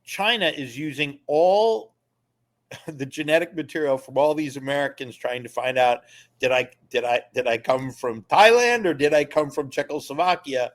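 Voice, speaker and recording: A man, a former government attorney, speaks as a podcast guest in a casual, animated, emphatic way as he explains. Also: on the high side, moderate pace. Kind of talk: casual interview conversation.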